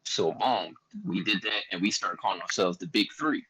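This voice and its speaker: Young Philly guy, a bit low-voiced, casual storyteller who acts out his own quotes. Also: normal speed, pushed and bright, poor audio. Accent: Philadelphia. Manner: loose, slangy, quotes himself a lot. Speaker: guest artist.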